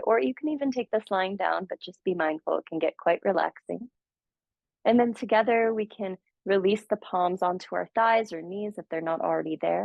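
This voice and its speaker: A woman guiding a meditation, speaking slowly and gently with soothing pauses. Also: mid-range voice, gravelly, no echo. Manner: slow, gentle, soothing guidance with long pauses. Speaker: meditation teacher. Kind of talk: guided meditation. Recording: dull, muffled audio.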